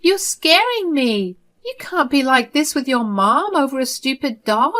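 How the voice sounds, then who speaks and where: A woman's voice: sits high, sing-song, a little slow, fairly bright tone, voice pushed up, heavily acted. A woman voicing a self-righteous older mother-in-law, whiny and indignant, in a read-aloud story. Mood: whiny pleading.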